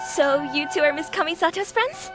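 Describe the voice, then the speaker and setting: A woman's voice: very high voice, very animated, fairly quick. A woman playing a friendly, candid restaurant keeper in a video game, talking in a chatty, confiding way. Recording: background music.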